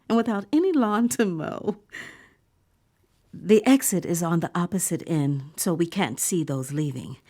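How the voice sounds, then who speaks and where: An actress playing a naive visitor who narrates a horror audio drama in a deliberate, breathy voice that slips toward whispering. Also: female voice, on the low side, full character voice. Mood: hushed anticipation. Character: dog-loving visitor narrating in a collective "we", naive, turning horrified. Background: music score.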